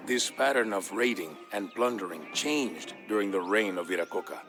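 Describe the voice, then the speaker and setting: A man narrates a dramatic historical story in a fairly low voice. His delivery is measured and solemn, and music plays softly behind him. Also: lively intonation. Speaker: narrator of a game campaign storyline.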